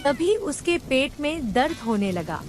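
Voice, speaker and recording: A woman's voice: high voice, sing-song, a little slow, music in the background. A woman narrating a scripted cartoon children's story as the storyteller.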